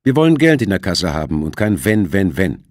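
A man's voice: sits low, sing-song, fairly quick, darkish tone, no echo, studio quality, pitched above the performer's own voice. A man voicing a stern, icy bank executive in an audiobook, curt and serious.

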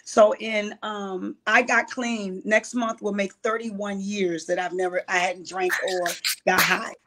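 A woman's voice: mid-range voice, level tone, measured pace, very noisy. A woman in her late fifties, a guest on an interview show, telling her life story in a candid, faith-filled way. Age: 50s. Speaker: guest, writer and producer. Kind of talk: casual interview, testimony.

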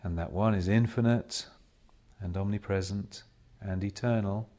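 A man leading a guided meditation as a spiritual teacher, speaking in measured, solemn short phrases with long pauses between them. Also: on the low side, steady tone, warm tone. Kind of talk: slow guided meditation talk.

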